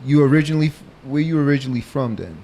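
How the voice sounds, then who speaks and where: A man with an American accent hosts a casual interview in a mid-pitched voice. He talks in familiar slang and puts questions to his guest. There is faint noise behind him. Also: animated, racing, mellow. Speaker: show host.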